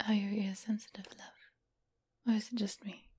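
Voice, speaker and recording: A woman playing a teasing, doting, seductive vampire mistress in a whispered, breathy ASMR roleplay. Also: mid-range voice, level tone, warm tone. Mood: teasing, soothing. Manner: slow, teasing, affectionate purr.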